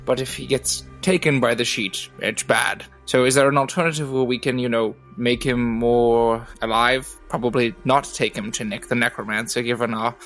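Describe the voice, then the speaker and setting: A man's voice: mid-range voice, fairly even intonation, normal speed, few pauses, fairly bright tone, faint music behind. A man playing a tabletop game, asking methodical questions to work through the situation. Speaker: player. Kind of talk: casual tabletop roleplay.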